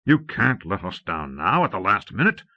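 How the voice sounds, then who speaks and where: A man's voice: on the low side, sing-song, moderate pace, no echo. A man reading an audiobook, playing a commanding, impatient magnate with an exasperated, articulate manner. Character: commanding, impatient magnate browbeating his younger-looking brother. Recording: muffled old cassette audiobook transfer.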